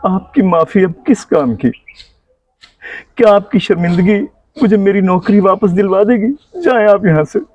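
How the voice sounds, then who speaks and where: A man's voice: sits high, normal speed, darkish tone, no echo, lo-fi sound. A man playing a poor, honest middle-aged tailor and father driven to beg, plaintive and wounded, in an amateur moral drama. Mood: hurt, defensive. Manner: plaintive, pleading. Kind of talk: amateur moral short-film acting.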